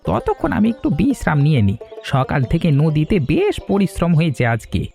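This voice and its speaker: Gravelly husky cartoon fisherman dad, bit high, all over the place. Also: huge pitch swings, fairly quick, warm tone, faint music behind, heavily acted. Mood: teasing. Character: hardworking middle-aged fisherman father, easygoing. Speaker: father. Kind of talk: cartoon voice acting.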